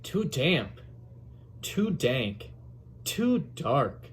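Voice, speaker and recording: A man voicing friendly talking woodland animals in a children's storybook, with an expressive, drawn-out delivery. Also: a little high, slight background noise, heavily acted. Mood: grumbling. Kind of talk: storybook character dialogue read aloud.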